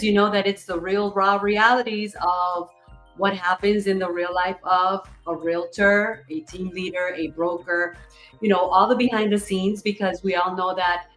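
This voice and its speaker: Effusive woman host, echoey room. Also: mid-range voice. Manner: effusive, upbeat, talks in rhetorical questions. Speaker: podcast host. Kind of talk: enthusiastic livestream interview.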